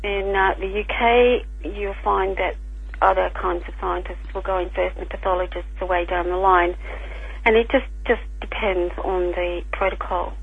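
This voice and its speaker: Croaky, raspy woman on a phone line. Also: mid-range voice, fairly even intonation, normal speed. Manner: careful, explanatory, with small restarts. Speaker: guest scientist and author. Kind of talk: radio interview, explaining.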